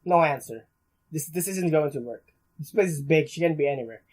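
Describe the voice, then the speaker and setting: A man's voice: on the high side, a little fast, darkish tone. A young man hosting a let's play, reading story text aloud with casual asides and often stumbling over words.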